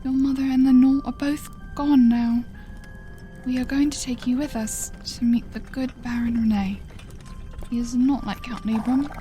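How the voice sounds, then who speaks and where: A woman playing a gentle, solemn adventurer in a fantasy horror audio drama, earnest and dramatic. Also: sits high, steady tone, normal speed, faint music behind, close to an everyday voice. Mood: gentle, sorrowful.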